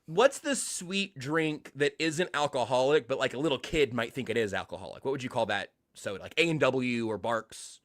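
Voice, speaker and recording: Podcast co-host, male, mid-pitched, dry teasing delivery, close dry recording. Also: moderate pace, hardly any pauses, fairly bright tone, no room sound. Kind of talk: casual sports podcast chat.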